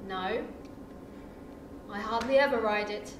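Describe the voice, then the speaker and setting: An actress playing an evasive young husband who brushes off questions, heard from a distance on an echoey stage. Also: female voice, fairly high pitch, slow, slight background noise. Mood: defensive. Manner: defensive, dismissive.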